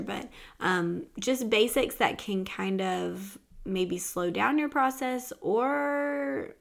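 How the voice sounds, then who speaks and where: A woman hosting a podcast in casual chat, hoarse and raspy from laryngitis, with lots of ums. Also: mid-range voice. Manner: chatty, with frequent ums. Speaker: podcast host.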